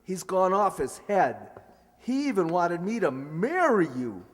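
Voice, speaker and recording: A man's voice: sits high, wildly swooping pitch, unhurried, exaggerated voice. A man playing an earnest, flustered young son who stammers, in an old-time radio comedy. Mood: alarmed. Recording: echoey hall stage recording.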